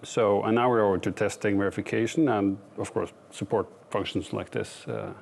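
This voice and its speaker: A man's voice: lowish voice, fairly even intonation, normal speed, vocal fry, a little mellow. A man talking informally as an engineer on an expert panel. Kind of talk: informal self-introduction on a panel.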